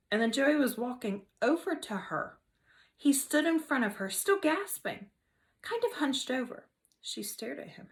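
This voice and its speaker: A woman teacher reading a children's novel aloud in an expressive storytelling manner. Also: a little high. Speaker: third grade teacher.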